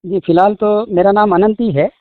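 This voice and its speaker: Guy w high voice reading a formal statement in short careful phrases, tinny phone call recording. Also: steady tone, moderate pace, no echo. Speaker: spokesman.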